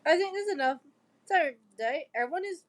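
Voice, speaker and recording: A woman playing a calm, encouraging male teacher of magic in an amateur audio drama, gently giving instructions. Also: very high voice, fairly quick. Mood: calm, wrapping up. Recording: noisy home microphone recording.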